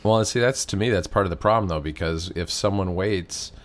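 A man co-hosting a podcast, his voice very low and a bit stuffed up from a cold. He talks in an easygoing, conversational way with a few ums and restarts. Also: a little fast. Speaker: podcast co-host. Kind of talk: casual podcast chat.

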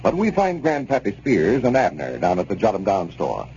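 A dull, faintly noisy vintage radio recording of a male announcer. His mid-range voice is mellow and swings widely in pitch, with polished scripted delivery in 1930s style. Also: wildly swooping pitch, moderate pace.

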